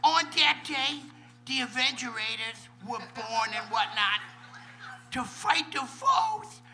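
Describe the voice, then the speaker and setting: Lisping boxer narrator, very high voice, slow, fumbling mock-heroic delivery, live radio-play parody. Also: male voice, expressive, pushed and bright, soft background music, heavily acted. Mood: mock solemn. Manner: fumbles grand words, tacks on rambling filler.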